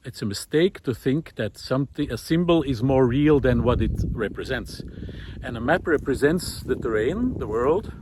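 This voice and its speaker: A man with a mid-pitched voice speaks over clear outdoor background noise, recorded while walking on a country road. He explains ideas reflectively and without a script. His delivery is halting and thoughtful, with frequent pauses as he forms each point. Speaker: host of a walking talk series. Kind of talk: unscripted reflective philosophical talk while walking.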